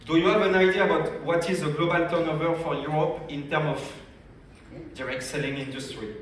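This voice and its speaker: A man from France with a strong French accent gives a lively business training talk at a convention, often asking the audience questions. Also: fairly high pitch, fairly quick, slight background noise. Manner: energetic, addresses audience directly with questions. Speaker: business development director. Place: large convention hall. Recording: echoey hall, speaker far from mic.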